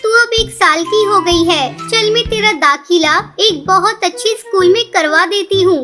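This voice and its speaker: Poor, devoted cartoon mother bird, played by a woman: extremely high, earnest and pleading, over clear background music. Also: measured pace. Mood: tender. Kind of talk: Hindi children's cartoon voice acting.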